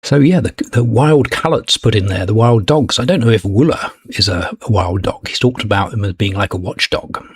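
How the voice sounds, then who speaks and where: A man hosting a livestream in casual unscripted chat, musing and halting as he goes. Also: on the low side, husky, dry recording, clean studio sound. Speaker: host of a listening club livestream.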